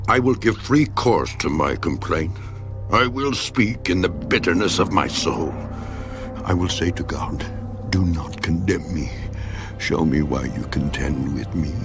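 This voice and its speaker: Job, anguished and gravelly. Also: male voice, lively intonation, unhurried. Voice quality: deep gravelly voice. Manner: anguished, swinging from hushed to crying out. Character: suffering righteous man pleading with God. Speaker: voice actor. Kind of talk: dramatized scripture reading. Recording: with music underneath.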